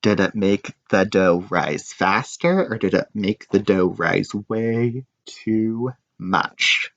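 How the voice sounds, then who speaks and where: A man's voice: lowish voice, slow. A man reading a picture book aloud expressively, adding asides and questions for his listeners. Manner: animated, prompts listeners with questions. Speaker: reader of a children's picture book, teacher. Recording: roomy, slightly dull sound.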